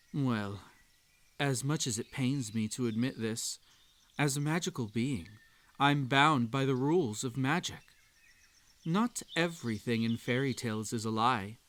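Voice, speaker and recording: A man's voice: medium pitch, fairly bright tone. A man voicing an obsessive magical bunny in a hypnotic audio roleplay, coaxing and soothing with a desperate edge. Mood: resigned, pleading. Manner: slow, soothing, coaxing delivery. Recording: nature ambience behind the voice.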